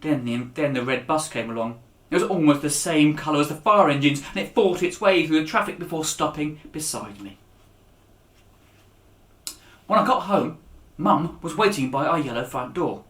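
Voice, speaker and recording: A man reading a children's story aloud as its author, with a dramatic, expressive delivery that rises and drops to a hush. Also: fairly high pitch, fairly quick. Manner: dramatic, with raised voices for dialogue and hushed endings. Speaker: author reading his own children's book. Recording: echoey room.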